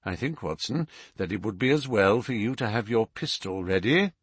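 A man plays a self-assured storybook detective. He speaks formally and with authority, his pitch moving animatedly, the sound close and dry. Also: lively intonation, slightly rough, no echo. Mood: decisive. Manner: brisk, authoritative, formal phrasing. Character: commanding, keen, self-assured male detective.

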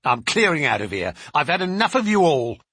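A man's voice: on the high side, big pitch swings, a little fast, pushed and bright, breathy edge, no room sound, heavily acted. Man putting on a screechy, indignant maidservant woman. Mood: defiant. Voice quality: screechy, wheezy. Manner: rustic uneducated speech, indignant. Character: sulky gaunt wheezy maidservant woman, defiant and coarse.

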